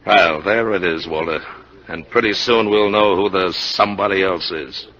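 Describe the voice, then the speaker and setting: A man acting a shrewd, excitable insurance investigator in 1950s radio drama, insistent and emphatic, on a noisy vintage recording. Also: low voice, normal speed, highs cut off. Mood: grimly certain. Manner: emphatic, insistent, repeats himself.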